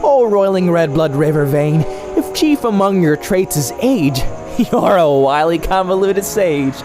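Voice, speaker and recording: A man voicing an excitable, scatterbrained young male cat with a florid, rambling, theatrical delivery in a comic dub. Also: high-pitched, measured pace, over music. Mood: grandiose, poetic. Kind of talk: animated comic dub acting.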